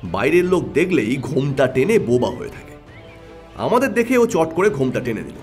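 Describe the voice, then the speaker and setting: Man playing a shrewd, expressive detective. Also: fairly high pitch, normal speed, soft background music. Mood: confident, explaining. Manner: assertive, explanatory. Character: shrewd, decisive male detective, self-assured and stern.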